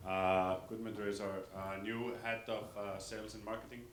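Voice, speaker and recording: A man's voice: on the low side, level tone, normal speed. A man, a company founder, speaking hesitantly with many fillers at a conference Q&A. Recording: echoey hall mic with noise.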